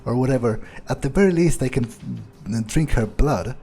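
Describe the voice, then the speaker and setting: Man playing a mellow, musing, blasé vampire. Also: medium pitch, animated, creaky, soft background music. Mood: scheming. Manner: musing, sardonic, halting. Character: blasé, scheming male vampire thinking aloud. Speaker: gamer role-playing a character. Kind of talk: in-character improvised game playthrough monologue.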